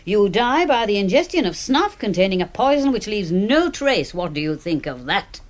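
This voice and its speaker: A woman's voice: medium pitch, big pitch swings, moderate pace, natural voice. A woman playing a sardonic, sceptical house guest in a radio drama, with an arch, teasing manner. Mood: arch, pleased.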